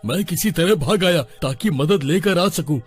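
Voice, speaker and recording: Timid younger brother, acted by a man, high-pitched, fretful, pleading, cartoon villager. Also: normal speed, breathy edge, soft background music. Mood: panicked, pleading. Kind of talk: cartoon story dialogue.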